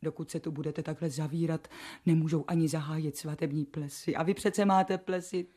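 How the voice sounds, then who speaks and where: Devoted lady's maid, radio drama, low, breathy, coaxing, deferential, whispery. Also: fairly even intonation, mellow. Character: devoted, chatty maid to a noblewoman.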